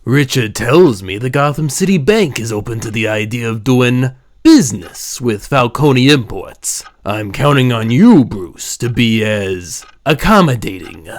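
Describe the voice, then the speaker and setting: A man playing an older crime lord in a comic dub drama, gravelly and deliberate, courteous but menacing and patronising. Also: mid-range voice, animated, lightly acted. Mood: smooth, pressuring.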